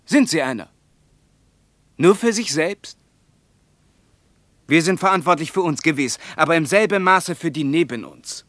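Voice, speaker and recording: A man's voice: fairly high pitch, lively intonation, measured pace, long pauses, a bit bright, dry recording. An actor playing a zealous, scornful Soviet Russian man in a radio play, lecturing emphatically. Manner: emphatic, lecturing, evasive under questioning. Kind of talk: mid-20th-century German radio play dialogue.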